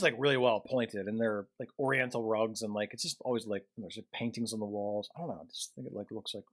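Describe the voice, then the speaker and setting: A man hosting a reaction show, chatting casually with many fillers and open enthusiasm. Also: medium pitch. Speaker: host reacting to a school video.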